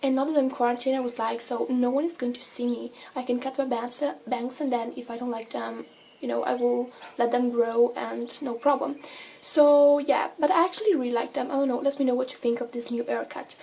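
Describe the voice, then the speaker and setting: A woman's voice: on the high side, steady tone, a little fast, gravelly, mellow, a little hiss or hum. A seventeen-year-old Italian girl with an Italian accent, chatting casually to camera in a vlog, full of fillers and restarts. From Italy. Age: teens. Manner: chatty, many fillers and self-corrections. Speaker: vlogger.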